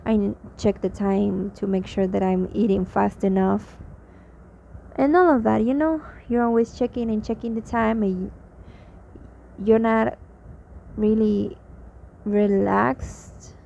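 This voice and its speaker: A young woman from Mexico speaks English with a Mexican accent, rambling through a spoken diary with fillers and false starts, tired and drained. Her voice is mellow and gravelly with vocal fry. The recording is a little dull and lo-fi, with faint background noise. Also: mid-range voice, steady tone, takes it slowly. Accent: Mexican Spanish. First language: Spanish. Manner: rambling, hesitant, many restarts and fillers. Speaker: vlogger.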